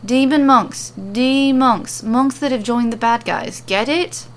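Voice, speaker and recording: A woman's voice: a little high, slow pace, pitched above the performer's own voice. A woman voicing a jolly, boastful Irish woman warrior in a fantasy story, theatrical and friendly with a dour streak. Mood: joking.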